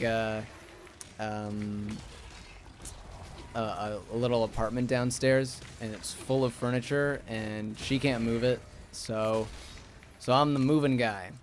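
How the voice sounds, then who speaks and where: A man's voice: fairly low pitch, slight background noise. Sleepy, rambling young male streamer. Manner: rambling, talks to chat while playing. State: sleepy, raspy and monotone. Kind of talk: casual gaming stream chat.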